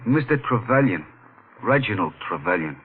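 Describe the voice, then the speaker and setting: An actor playing a frightened, jumpy janitor in a 1940s-style radio mystery, speaking in a nervous, ominous hush. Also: male voice, on the low side, sing-song, moderate pace, slight background noise. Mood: uneasy, gossipy. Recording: tinny old radio recording with music.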